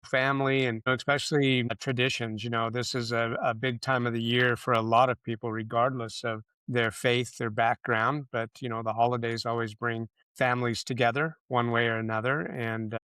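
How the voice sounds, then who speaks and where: Smooth-voiced man hosting a podcast. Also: medium pitch, little pitch movement, normal speed, few pauses, pushed and bright, no echo. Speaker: podcast host. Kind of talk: interview hosting.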